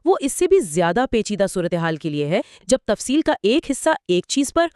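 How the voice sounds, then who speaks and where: A woman with a mid-pitched voice co-hosts a scripted explainer. She sounds inquisitive, with highly varied intonation, and the recording is dry and close. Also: sing-song, moderate pace, darkish tone, clear, resonant tone, no echo. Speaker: co-presenter asking and summarizing. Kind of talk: scripted two-person explainer dialogue.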